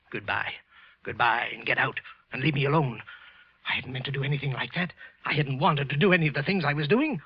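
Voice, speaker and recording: An American actor playing a guilt-ridden office worker and murderer sliding into paranoid madness, stammering and repeating himself in a 1940s radio thriller. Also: male voice, fairly high pitch, breathy edge. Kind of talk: 1940s American radio thriller acting, first-person narration. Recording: muffled old radio recording with music.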